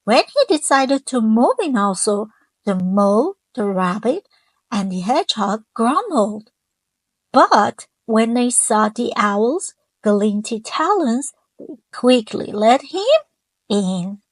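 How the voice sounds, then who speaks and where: Female storyteller, slightly high voice, gentle read-aloud that keeps asking the kids questions. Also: big pitch swings, slow, no echo. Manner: gentle, stops to ask young listeners questions. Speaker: children's storyteller. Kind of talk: children's picture book read-aloud.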